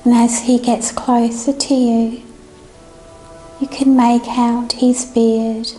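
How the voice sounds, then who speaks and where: A woman leading a guided meditation in a gentle, soothing, unhurried manner. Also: fairly high pitch, level tone, poor audio. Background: music.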